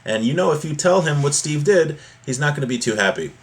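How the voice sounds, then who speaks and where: A man teaching an informal religious lesson, reading from a text and explaining it in a halting, repetitive, conversational way. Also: on the high side, animated.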